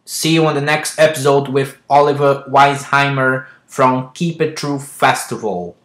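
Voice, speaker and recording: A man hosts a casual podcast interview. His voice sits in a roomy, echoing space. He speaks with a middle pitch. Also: unhurried, fairly bright tone. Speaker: podcast host.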